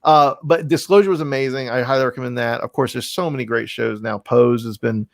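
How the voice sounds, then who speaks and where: A man hosting a casual podcast interview, speaking in an effusive, emphatic, rambling way with a creaky voice. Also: medium pitch, fairly even intonation, a little fast, no room sound, clean studio sound. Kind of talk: casual, enthusiastic interview chat.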